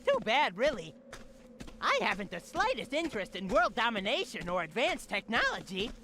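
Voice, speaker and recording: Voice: mid-range voice, wildly swooping pitch, moderate pace, a crowd in the background. An actor as a swooping, dismissive young bird leader. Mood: cool disdain. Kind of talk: fan-dub cartoon voice acting.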